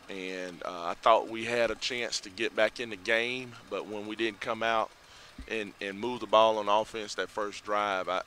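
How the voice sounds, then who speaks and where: A middle-aged man talks things over in a low, forceful voice, pausing often with fillers. He speaks into a headset mic outdoors at night, with faint outdoor sound behind him. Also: a little hiss or hum. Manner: measured, reflective, many fillers. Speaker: head football coach. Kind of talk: postgame interview. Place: outdoors by a school building at night.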